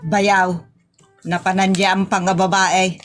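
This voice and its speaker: A woman's voice: mid-range voice, little pitch movement, a little slow, over music, lightly acted. A woman playing a strict, nagging older auntie with an Ilocos accent from the northern Philippines in a comedy audio drama. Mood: indignant.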